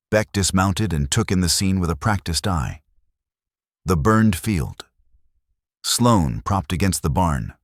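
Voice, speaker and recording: A man reading a scripted dramatic Western story in a measured, solemn manner. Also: extremely low pitch, no echo, clean studio sound. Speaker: narrator.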